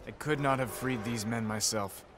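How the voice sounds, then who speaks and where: An actor playing a kindly, effusive friar who talks eagerly and fusses. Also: male voice, medium pitch, normal speed, noisy background, close to an everyday voice. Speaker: monk. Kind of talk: video game cutscene acting.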